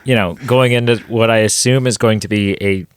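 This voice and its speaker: A man co-hosting a casual podcast chat, going hoarse as he talks, rambling with quick self-deprecating asides. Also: on the low side, steady tone. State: losing his voice. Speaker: podcast co-host.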